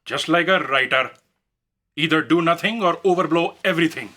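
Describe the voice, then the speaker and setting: A woman playing an excitable, skeptical fact-checker with an exclamatory, sardonic manner in a comic sci-fi audio drama. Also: low voice, moderate pace.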